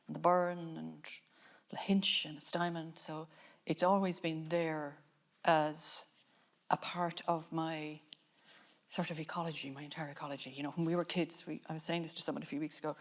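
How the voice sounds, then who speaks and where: An Irish woman, a filmmaker on a panel, giving a reflective talk in a musing, halting way with frequent restarts. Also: low-pitched, little pitch movement. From Ireland.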